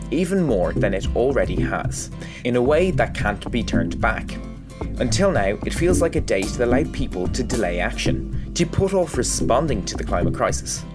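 A man hosting an environmental podcast, reading a scripted introduction in a measured, clear way and then moderating a discussion. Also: mid-range voice. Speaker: podcast host. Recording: music bed behind the voice. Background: loud music.

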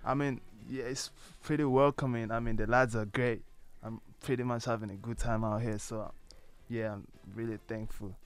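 A young man from Malawi chats in a radio studio. He has a smooth, resonant voice and talks in a loose, easygoing way, filling his answers with "like" and "you know what I mean.". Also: mid-range voice, steady tone, normal speed, soft background music. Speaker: guest, musician. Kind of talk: casual interview answers.

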